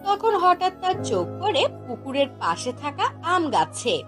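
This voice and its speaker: A woman's voice: very high voice, big pitch swings, moderate pace, a bit bright, faint music behind. A woman narrating a scripted fairy tale for a cartoon as the storyteller.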